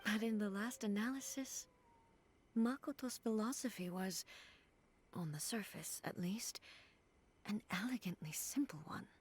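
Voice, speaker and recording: A woman voicing a dignified female ruler in a video game, speaking in a measured, formal and reflective way. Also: mid-range voice, a little hiss or hum, lightly acted. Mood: solemn. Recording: video game audio with faint music.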